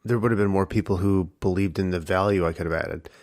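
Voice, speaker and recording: A man hosting a casual solo podcast with a husky voice, reflective and rambling with many fillers. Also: low voice, steady tone, quick pace, a little mellow, no echo, studio quality. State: early-morning tired voice. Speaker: podcast host.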